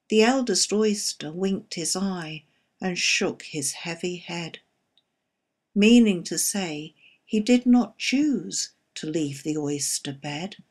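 A woman expressively reciting a narrative poem in a measured storytelling manner, with a slightly husky voice. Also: medium pitch, darkish tone. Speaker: poetry reader.

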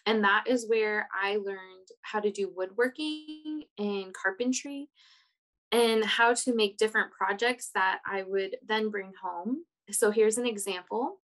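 A woman interpreter voicing a panelist's words in steady, plain first-person narration on a video call. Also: medium pitch, a little slow, low-quality recording.

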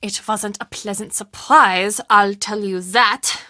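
Earnest, bright-voiced castle manager woman. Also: mid-range voice, slow pace, dry recording, studio quality. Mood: bitter, hurt. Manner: conversational, confiding, emotionally expressive. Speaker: video game character. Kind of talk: video game dialogue acting.

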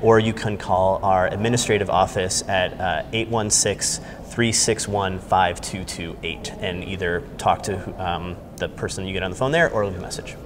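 Low-voiced American man over clear background noise. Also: normal speed, few pauses. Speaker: theater company staff member interviewed as guest. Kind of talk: informal on-camera interview. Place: large indoor lobby. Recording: clear background noise, busy lobby ambience.

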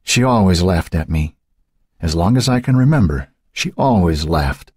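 A man reading the part of a fearful, troubled woman under questioning, halting and husky. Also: deep voice, lively intonation, darkish tone, dry recording, clean studio sound. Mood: hesitant. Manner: halting, pleading, turning angry.

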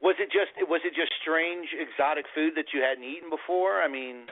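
Excitable male podcast host, tinny sound. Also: fairly high pitch, brisk. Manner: enthusiastic, swears, laughs along. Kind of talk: horror podcast interview. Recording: tinny phone-like audio.

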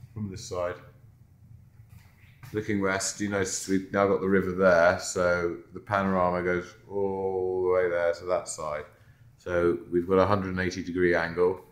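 Low flat-voiced man touring a mural. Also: echoey room. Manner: relaxed, offhand, pointing things out. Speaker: muralist giving a walkthrough. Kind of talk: casual narrated video tour. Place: indoor office lunchroom.

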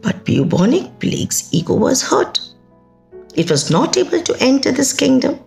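A middle-aged woman with an Indian accent telling a folktale in an expressive, emphatic way, with conversational asides. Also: high-pitched, moderate pace, gravelly. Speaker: storyteller. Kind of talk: dramatic oral folktale storytelling. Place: indoors, small plain room. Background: music.

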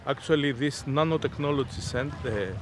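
Man in his fifties, halting deliberate delivery, mid-pitched voice, interview, faint seaside noise. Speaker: interviewee, innovation zone representative. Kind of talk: spoken interview, unscripted explanation. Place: outdoors on a sea beach. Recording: outdoor seaside background noise.

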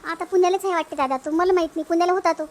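Woman acting an anxious, very high-pitched young sister-in-law. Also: fairly even intonation, very fast. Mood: worried, pleading. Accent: Vidarbha. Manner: pleading, insistent. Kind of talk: cartoon family drama dialogue.

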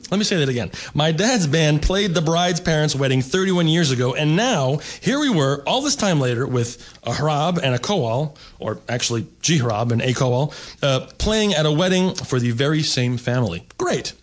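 A man's voice: fairly high pitch, animated, normal speed, few pauses. A middle-aged man from the United States doing seated stand-up, telling a long comic story in a wry, rambling way with mock-snobbish asides. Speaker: stand-up comedian. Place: seated at a table, indoor stage.

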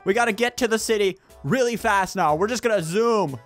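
A man's voice: high voice, fairly quick, a bit bright, faint music behind. A young man hosting gameplay banter with friends, playful and often exclaiming. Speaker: gaming video host and player.

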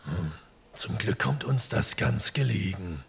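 A man voicing a mysterious goat-man of the woods in an audio horror story, gravelly and husky, cryptic and dry-witted. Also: lowish voice, expressive, pitched below the performer's own voice, heavily acted. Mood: dryly wry. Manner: slow, cryptic, deliberate.

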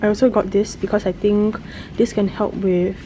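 A woman's voice: medium pitch, flat delivery, moderate pace, dark tone, low-quality recording. A young woman chatting casually to viewers as a travel vlogger, filming herself on a handheld camera. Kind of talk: casual vlog chat to viewers. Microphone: handheld camera at arm's length. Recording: clear background noise.

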